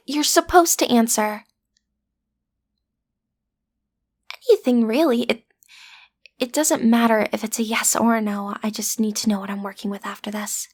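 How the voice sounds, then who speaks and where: A woman performs a smitten schoolgirl would-be villainess for an intimate audio roleplay. Her voice is fairly high and breathy, often falling to a whisper. She talks straight to the listener, hesitant and flustered, trying to sound menacing but staying playful. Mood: flustered, impatient. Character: lovestruck teenage schoolgirl, villain's daughter, awkward amateur kidnapper.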